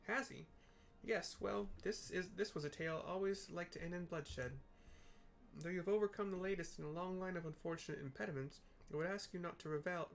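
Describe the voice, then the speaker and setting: A formal commander from a fantasy game , acted out by a man. The voice is lifted higher, with a stately delivery. It sounds cautioning and proper. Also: creaky. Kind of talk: reading fantasy game dialogue aloud.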